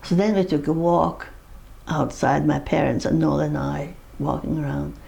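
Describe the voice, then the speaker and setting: A woman's voice: sits low, steady tone, normal speed, vocal fry, mellow. An older woman with a Norwegian accent, recalling her life in a personal interview, rambling through her story with laughs and restarts. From Norway.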